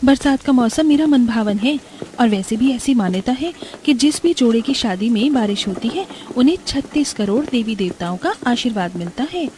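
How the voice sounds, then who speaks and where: A gentle poor young bride from a bedtime cartoon, acted by a woman storyteller. Her voice sits slightly high, and she is devoted, polite and respectful. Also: lively intonation, normal speed, a little hiss or hum, lightly acted. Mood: sweet, respectful. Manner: respectful, polite, soft-hearted.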